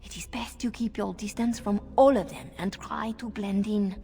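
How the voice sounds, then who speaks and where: An actress playing an anxious, scheming elven handmaid in a video game. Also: female voice, mid-range voice, normal speed, gravelly, mellow, slight background noise, natural voice. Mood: cautious, urgent. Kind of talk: video game cutscene acting.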